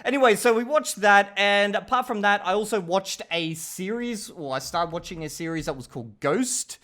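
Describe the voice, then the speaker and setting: A man talks to his viewers in a loose, rambling way, often checking in as he goes. His voice sits a little higher than most men's. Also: normal speed, fairly bright tone. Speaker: channel host reviewing his language learning. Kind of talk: casual talking-head review.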